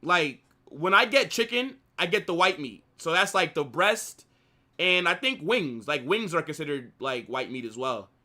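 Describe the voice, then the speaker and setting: A man's voice: a little high, normal speed. A man hosting a live football watch-along, chatting casually and reacting to his chat. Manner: animated banter, reading chat aloud. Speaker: live stream host.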